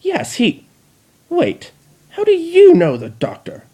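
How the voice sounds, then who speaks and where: A man's voice: mid-range voice, huge pitch swings, fairly quick, creaky, darkish tone, husky, heavily acted. A man voicing a shrieking, imperious female changeling queen in a fan reading. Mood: screeching. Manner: screeching, imperious.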